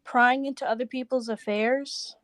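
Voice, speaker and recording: Woman acting a bossy, high-voiced scolding sister. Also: steady tone, fairly bright tone, no room sound, clean studio sound, natural voice. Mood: exasperated. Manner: brisk, scolding, exasperated. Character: bossy, disapproving, practical married sister, busy mother. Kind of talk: 1940s radio play acting.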